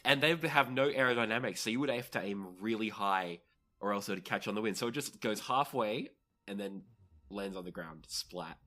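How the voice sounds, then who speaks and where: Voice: mid-range voice, normal speed, sharp tone. A game master narrating a tabletop role-playing game, describing the action in vivid, dramatic detail.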